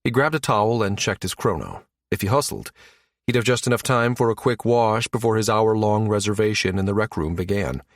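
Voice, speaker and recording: A man's voice: fairly low pitch, fairly quick, no room sound, clean studio sound. A man reading a science fiction audiobook as scripted narration.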